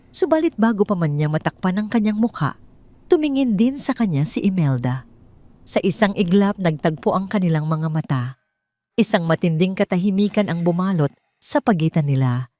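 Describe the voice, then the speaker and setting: A woman with a Filipino accent narrating a scripted dramatic story in measured, sentimental phrasing. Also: fairly low pitch, warm tone.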